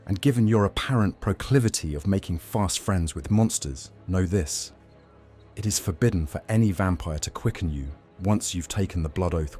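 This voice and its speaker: A man as a deep-voiced, cool vampire master. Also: dark tone. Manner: measured, teasing, faintly threatening. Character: young Roman male vampire master, cool and menacing. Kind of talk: audio drama roleplay acting.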